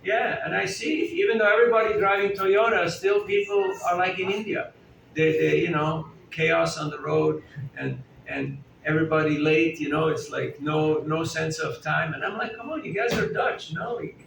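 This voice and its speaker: A man's voice: on the high side, steady tone, normal speed, vocal fry. A man giving a chatty, conversational sermon to a live audience, often asking them questions and joking. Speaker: spiritual teacher giving a talk. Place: indoor hall at a festival gathering. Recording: echoey hall, distant mic, faint room noise.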